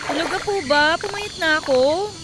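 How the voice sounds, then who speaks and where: A woman's voice: very high voice, normal speed, heavy background noise. A woman playing a cheerful, cheeky young singer chatting respectfully with her mother in a Tagalog radio drama. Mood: warm, casual. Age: young adult. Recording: loud music bed behind the voice.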